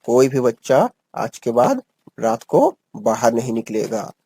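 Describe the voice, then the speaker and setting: A commanding village-chief bird in a cartoon, acted by a man. He speaks very slowly and deliberately with stern authority, in a dry, close recording. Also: medium pitch, very animated, warm tone, husky, no room sound, full character voice.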